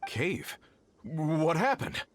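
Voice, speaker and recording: A man's voice: on the high side, big pitch swings, extremely slow, a little hiss or hum, heavily acted. An actor playing a courteous, dutiful foreman in a game dub, earnest and a little hesitant. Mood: surprised, grateful. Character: polite, dutiful male foreman searching for his men. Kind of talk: scripted English game dub acting.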